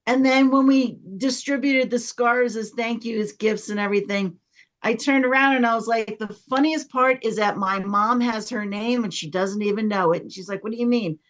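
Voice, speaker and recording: A woman's voice: on the high side, moderate pace. A woman telling a casual anecdote in a chatty way, acting out bits of dialogue as she goes. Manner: chatty, reenacts dialogue when retelling.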